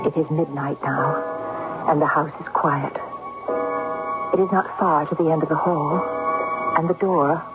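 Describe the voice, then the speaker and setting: An actress playing a frightened, inquisitive young companion in a gothic manor, breathless and intense in a 1970s radio drama style. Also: female voice, sits low, fairly even intonation, measured pace, long pauses, over loud music. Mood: hushed suspense. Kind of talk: 1970s radio drama acting with first-person narration. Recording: tinny old radio broadcast recording.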